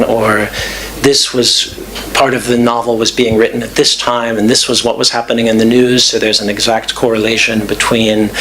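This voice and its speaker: A man giving thoughtful, measured answers at a talk's question session, pausing with fillers and restarts. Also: mid-range voice, a bit bright, slight background noise, poor audio. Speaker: guest speaker. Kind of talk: lecture Q&A answers. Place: club event room.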